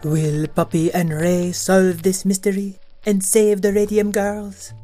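A woman's voice: medium pitch, flat delivery, a little slow, dark tone, soft background music. A woman narrator and host delivering scripted narration for a children's audio story.

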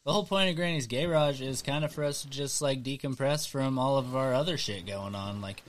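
Laid-back podcast host, swearing freely. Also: male voice, medium pitch, fairly quick. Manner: laid-back, swears freely, calls people dude and bro. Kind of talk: casual podcast chat.

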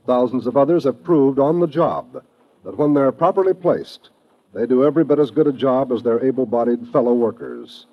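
An American man as a 1950s radio announcer, reading a scripted announcement with earnest, deliberate emphasis. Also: mid-range voice. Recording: muffled old radio recording.

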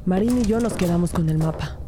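A woman's voice: fairly low pitch, brisk, lightly acted. A woman with a Latin American Spanish accent playing an earnest young trainee detective in a scripted crime audio drama. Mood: grave narration. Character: young female trainee detective narrating her case, earnest and eager. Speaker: narrator and lead actor.

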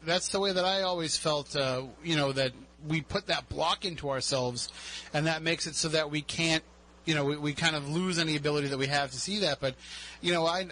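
A chatty radio host with a Boston accent, a man whose voice sounds bright and a little high. He jokes easily and keeps the conversation light. He speaks into a studio mic. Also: poor audio. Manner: chatty, jokey, laughs often. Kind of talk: casual interview chat.

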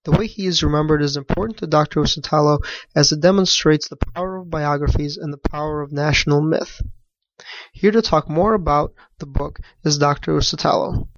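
Formal podcast host, dull dry sound. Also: male voice, a little high, brisk, no echo. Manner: reads the introduction formally. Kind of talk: scripted introduction, then interview questions.

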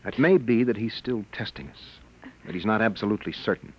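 An actor playing a protective, wary husband in a 1950s radio drama, earnest and tense on a vintage recording. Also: male voice, on the low side, lively intonation, a little fast, husky, poor audio. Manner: soothing but tense, earnest.

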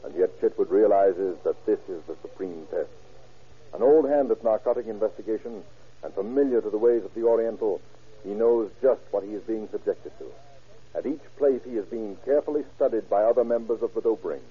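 Man as dramatic radio crime narrator, low and serious, very tinny vintage recording. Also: brisk, husky.

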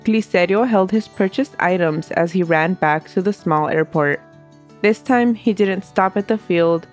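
A woman with a fairly low voice narrates a scripted history tale as the host of her podcast. She sounds stuffy from a cold and keeps a steady storytelling pace. Faint music plays softly behind her. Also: unhurried.

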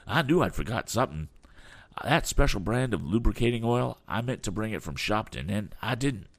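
A man voicing a modest, obliging balloonist in an adventure storybook, brisk and exclamatory. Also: fairly low pitch, animated, voice pushed up. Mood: vexed.

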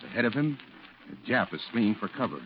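An actor playing a solemn war correspondent, narrating a 1940s radio drama in a grave, declamatory way. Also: male voice, fairly low pitch, big pitch swings, brisk, a little hiss or hum. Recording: dull old radio recording with music.

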